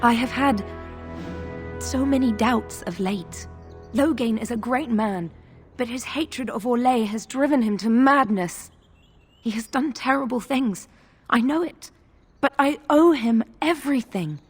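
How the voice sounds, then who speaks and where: A woman's voice: a little high, measured pace, husky, soft background music. An actress playing a loyal, duty-bound female knight in a fantasy game, earnest and grave. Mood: anguished. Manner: earnest, solemn. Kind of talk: dramatic fantasy game acting.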